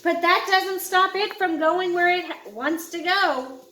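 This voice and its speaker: A calm, encouraging storybook mentor, read by a woman narrator, speaking patiently to children in a high voice. There is a roomy echo around her. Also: unhurried, a little hiss or hum, full character voice. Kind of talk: children's audiobook character reading.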